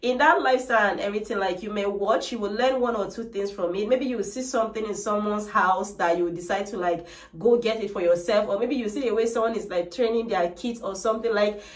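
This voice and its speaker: A woman's voice: mid-range voice, brisk. Woman vlogger, 30s, casual chatty talk to camera, slightly distant and echoey camera audio. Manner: chatty, frequent "like" and "trust me". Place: bright decorated room indoors. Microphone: camera mic, no microphone. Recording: echoey room, voice a little distant.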